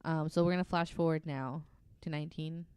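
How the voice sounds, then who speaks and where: A woman hosting a podcast, casually narrating a researched story from notes in an informal, halting way. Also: low voice, fairly even intonation, a little mellow, clean tone, no echo.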